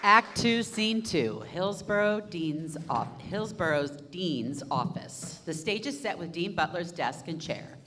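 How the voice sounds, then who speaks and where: A young woman reads stage directions in a plain, measured way, her voice on the lower side yet lively in pitch. A long hall reverb and faint noise sit around her. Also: a faint crowd. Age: young adult. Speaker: narrator reading stage directions. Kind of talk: staged reading narration.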